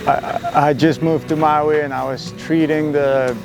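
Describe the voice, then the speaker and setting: A man from Colombia, a paraglider pilot, telling his story in a reflective, measured documentary interview. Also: on the high side, flat delivery, vocal fry, over music.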